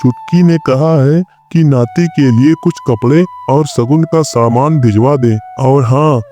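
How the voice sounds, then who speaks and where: A man acts a crafty village priest from a cartoon moral tale. He talks in a warm, medium-pitched voice, glib and persuasive like a folksy trickster, over light music. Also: unhurried, close to an everyday voice. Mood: cheerful, scheming. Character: cunning, smooth-talking male village priest, a trickster. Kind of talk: Hindi cartoon moral story acting.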